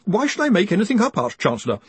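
A man's voice: high voice, wildly swooping pitch, fast talker, husky, no room sound, voice pushed up, full character voice. A man voicing a timid, panicky young wizard in an audiobook, hesitant, apologetic and stammering to his superior. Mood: nervous protest. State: panting.

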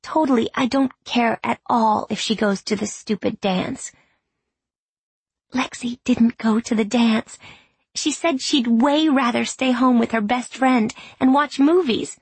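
A woman voicing an earnest teenage high school girl confiding in her diary in an audiobook, self-mocking and candid. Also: a little slow, husky, dry recording. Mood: wry, earnest. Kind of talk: audiobook reading of diary entries.

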